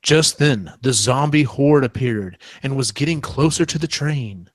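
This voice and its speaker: A man's voice: medium pitch, measured pace, dry recording. A man, a podcast co-host, reading a comic parody storybook aloud.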